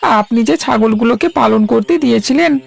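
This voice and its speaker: Cartoon servant goatherd, voiced by a woman, humble and pleading, heavily fried high voice, soft music behind. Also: moderate pace, vocal fry, slightly rough, full character voice. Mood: anxious, apologetic. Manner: pleading, deferential. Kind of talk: animated story acting.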